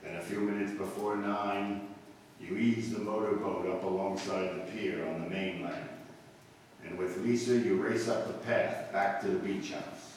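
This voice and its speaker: A man performing an ominous, taunting radio mystery narrator in a brooding, deliberate 1940s suspense style. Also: low voice, steady tone, darkish tone. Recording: very echoey hall, distant mic, faint noise.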